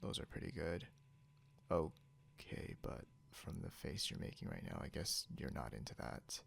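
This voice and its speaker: Very gravelly, low, slow man acting a possessive captor. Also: sing-song, fairly bright tone, exaggerated voice. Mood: hesitant, defensive. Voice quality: gravelly, often breaking into whisper. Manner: halting, muttering, condescending. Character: possessive non-human male captor, grudgingly caring, teasing. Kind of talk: ASMR roleplay, intimate second-person acting. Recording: noticeable background hiss or hum.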